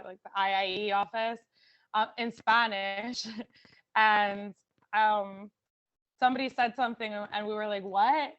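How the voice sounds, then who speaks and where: A woman's voice: on the high side, a bit bright, muffled recording. Giggly woman telling a story. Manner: giggly, chatty, many fillers. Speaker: panelist. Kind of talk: casual panel storytelling.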